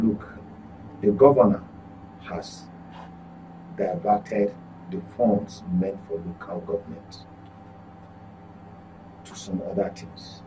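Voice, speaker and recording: A man's voice: on the low side, distant mic, noisy background. A man with a Nigerian accent giving political commentary, often repeating and correcting his words as he goes. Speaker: current affairs commentator.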